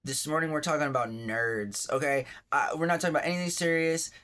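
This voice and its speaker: A man's voice: on the high side, quick pace, pushed and bright. A man in his thirties hosting his own vlog from his bed, talking in a chatty, rambling, self-deprecating way with lots of asides. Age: 30s. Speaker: vlogger, channel host. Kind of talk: rambling casual vlog monologue. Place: on his bed at home, just woke up.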